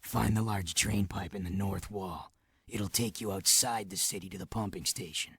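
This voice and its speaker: Game rebel commander: very low, rough, hardened and scornful, barking terse orders, close dry recording. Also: male voice, fairly even intonation, normal speed, a bit bright, dry recording, exaggerated voice. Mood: briefing. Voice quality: low, gravelly. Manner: curt, commanding, disdainful. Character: gruff, hardened, scornful underground resistance commander, ex-guard. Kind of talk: video game cutscene acting.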